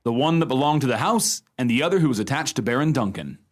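A wry teller of ghost stories played by a man holds forth in a radio drama. His middle-pitched voice is dry and emphatic, with very animated intonation. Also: quick pace, very noisy, natural voice. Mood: relishing, emphatic. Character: wry storyteller spinning a ghost yarn to listeners. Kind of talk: radio play storytelling.

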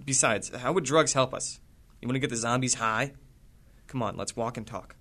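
A man's voice: mid-range voice, moderate pace, bright, forceful tone. A young male actor playing an anxious, earnest teenage schoolboy who takes charge, urgent and exasperated, in a radio drama. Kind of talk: serial radio drama acting.

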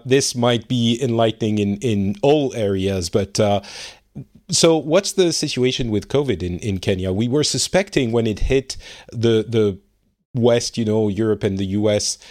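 A man's voice: mid-range voice, darkish tone. Chatty male podcast host. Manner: friendly, chatty, with fillers and laughs. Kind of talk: casual interview chat. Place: home studio in Finland.

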